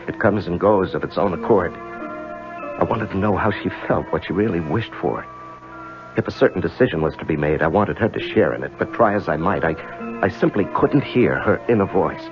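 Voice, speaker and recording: An actor playing a troubled, devoted married lawyer in a 1970s radio drama, halting and repeating himself under strain. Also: male voice, low-pitched. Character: troubled married lawyer, anguished, hesitant, devoted to ailing wife. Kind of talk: 1970s radio drama acting with introspective narration. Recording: muffled old radio broadcast, loud music.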